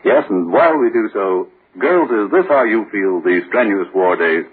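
A man's voice: on the low side, lively intonation, normal speed, husky. A man announcing in the scripted style of a 1940s radio show. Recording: muffled, lo-fi old radio recording.

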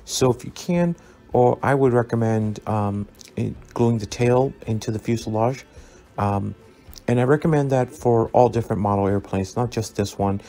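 A man narrating a scale model build as a relaxed tutorial, easygoing and full of ums and uhs as he recommends each step. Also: mid-range voice, normal speed. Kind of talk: relaxed instructional voice-over. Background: soft background music.

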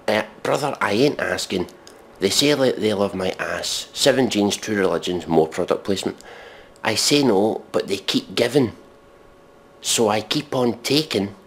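A man with a low-pitched voice delivers comic commentary. He reads in a mock-dramatic way, deadpan and sardonic. His manner is exasperated, with plenty of swearing. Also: slight background noise. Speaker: comedic commentator.

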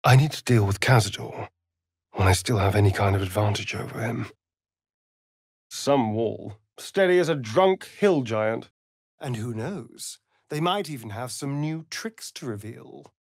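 An actor playing a sly, flamboyant, sardonic vampire rogue in a video game, with a British accent and an arch, camp, teasing drawl. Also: male voice, mid-range voice, animated, measured pace, breathy edge, no echo, clean studio sound. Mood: dry, disdainful. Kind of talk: theatrical video game character acting.

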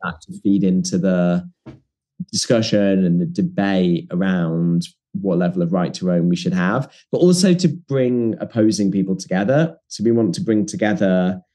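A man's voice: deep voice, moderate pace, few pauses, dark tone. A man, a documentary producer, talking as a guest in an earnest, thoughtful way with some ums. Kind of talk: casual interview chat.

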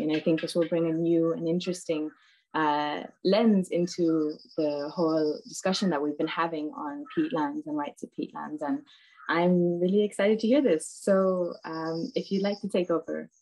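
A woman's voice: sits low, darkish tone, low-quality recording. A woman moderating an online session, introducing the speaker with hesitant ums. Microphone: laptop webcam on video call.

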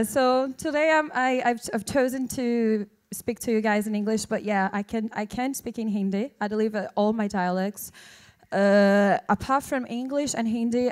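A woman's voice: a little high, a little mellow. A young woman from Brazil whose first language is Portuguese, speaking English with a Portuguese accent at a film launch press event, earnest and often restarting as she searches for words, into a handheld mic. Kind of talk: press conference answers. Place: indoor launch event stage. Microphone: handheld microphone.

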